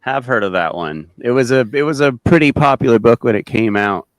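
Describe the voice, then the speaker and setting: A man's voice: mid-range voice, animated, fairly quick, no room sound. A man making casual remarks as a member of a podcast panel. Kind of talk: casual group chat.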